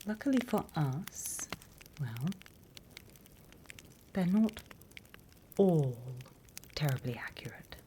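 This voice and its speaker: A sly demon schoolmistress acted by a British woman murmurs and whispers in a low, unhurried British voice. The delivery is ASMR roleplay, mock-stern and teacherly with a teasing threat underneath. Some clear background noise sits behind the close recording. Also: expressive, mellow. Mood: wry, instructive. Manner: slow, deliberate, teacherly, teasing menace.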